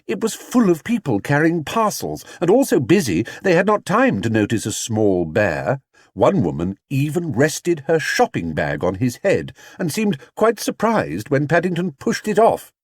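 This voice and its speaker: A man narrating a children's storybook as an audiobook reader, with expressive, measured storytelling. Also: mid-range voice, no echo, clean studio sound.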